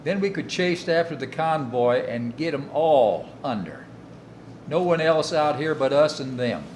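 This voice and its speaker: An actor playing a confident, aggressive wartime submarine officer in a war drama, with a folksy military manner. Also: male voice, on the high side, animated, unhurried, a little mellow, a little hiss or hum, poor audio. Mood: gung-ho. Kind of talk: radio-play style war drama acting.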